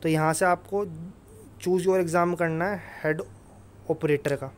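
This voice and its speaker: Male exam-prep presenter, slightly high voice, informal and instructive with emphatic repetition. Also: fairly even intonation, takes it slowly, a little hiss or hum. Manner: instructive, emphatic, repeats tag phrases. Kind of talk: informal explainer over screen recording.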